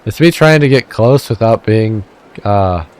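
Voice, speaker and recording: A man's voice: on the low side, lively intonation, measured pace, darkish tone. A man from the American Midwest giving casual, rambling gameplay commentary, self-deprecating and swearing offhandedly. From Midwestern United States. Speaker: let's play gamer.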